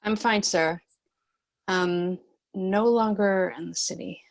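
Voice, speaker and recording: A woman's voice: mid-range voice, steady tone, takes it slowly, creaky. An actress in a screenplay table read playing a tough, defiant, protective female bounty cop with a firm, curt manner. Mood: guarded.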